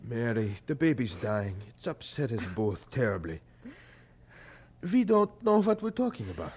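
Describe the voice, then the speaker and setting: An actor playing an earnest, devout pastor with a hesitant manner in a radio drama. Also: male voice, mid-range voice, big pitch swings, a little mellow, slightly rough. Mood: grave, pleading. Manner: hesitant, halting in polite talk. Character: earnest, devout German-born male pastor, married.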